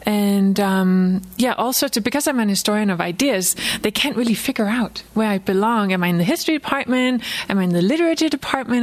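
A woman author with a Danish accent, a native Danish speaker, talks informally to an audience. Her delivery is halting, with words doubled and restarted as she thinks aloud. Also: mid-range voice. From Denmark. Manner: halting, frequent self-repetition, chuckles often. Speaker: author giving a book talk. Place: live book event before an audience, recorded for a podcast.

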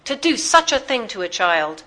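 A woman's voice: lowish voice, lively intonation, moderate pace, slight background noise. Woman acting out a fierce, indignant Englishman. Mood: defiant anger. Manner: indignant, shouting, formal declamation. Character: angry, righteous middle-aged Englishman, stocky and fierce.

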